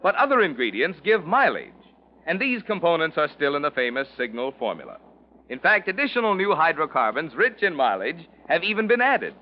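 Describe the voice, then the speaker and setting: A man's voice: on the high side, big pitch swings, brisk, slight background noise. A man reading a 1940s radio advertisement as an announcer, with an emphatic, polished sales delivery. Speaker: commercial announcer. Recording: muffled 1940s radio recording.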